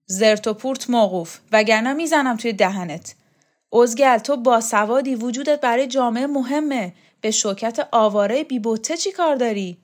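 A woman's voice: a little high, voice pushed up, close to an everyday voice. A woman voicing a blunt, coarse middle-aged strongman who scolds and swears. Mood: gruff tender. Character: tough, blunt middle-aged male strongman, scornful and gruff.

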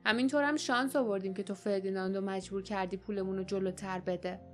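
A woman voicing a young female traveler in an audiobook, speaking quickly and practically. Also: medium pitch, steady tone, faint music behind, lightly acted. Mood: dry.

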